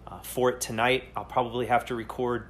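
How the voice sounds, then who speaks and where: Earnest, halting man hosting a podcast. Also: mid-range voice, little pitch movement, slight background noise. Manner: earnest, halting, searching for words. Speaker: podcast host. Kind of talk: solo podcast monologue.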